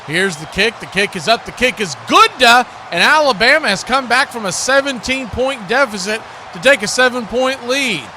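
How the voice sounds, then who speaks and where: High-pitched man calling football play-by-play. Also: lively intonation, normal speed, few pauses, bright, forceful tone. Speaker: sports play-by-play announcer. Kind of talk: live football play-by-play commentary. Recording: clearly noisy live stream audio.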